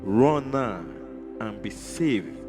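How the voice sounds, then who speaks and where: A man giving a slow, grave warning. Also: fairly low pitch, lively intonation. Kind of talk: solemn warning at the end of a prophecy. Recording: backed by loud music.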